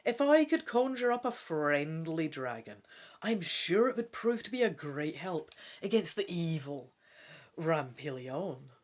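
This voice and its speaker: Woman voicing an earnest, animated young adventurer. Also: sits low, a little slow, full character voice. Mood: determined, hopeful. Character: earnest young royal adventurer in disguise, determined, indignant. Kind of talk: dramatic gamebook reading.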